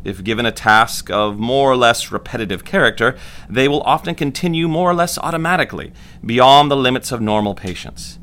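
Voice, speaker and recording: A man's voice: medium pitch, fairly bright tone. A man playing a pompous, patronizing doctor lecturing students formally in a 1940s-style audio drama. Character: pompous male doctor lecturing medical students, clinically smug.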